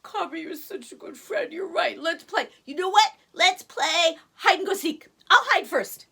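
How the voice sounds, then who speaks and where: A woman's voice: very high voice, lively intonation, a little slow, vocal fry, pushed and bright, voice pushed up, full character voice. A woman voicing a frightened baby male tiger who sobs and pleads for a friend. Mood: pleading, eager. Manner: sobbing, pleading.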